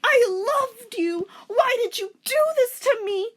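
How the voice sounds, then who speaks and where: A woman's voice: extremely high pitch, lively intonation, slow, voice pushed up, heavily acted. Woman doing an overdramatic, lovestruck male anime hero. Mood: anguished. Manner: bold, declamatory. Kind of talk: mock-dramatic fanfiction reading.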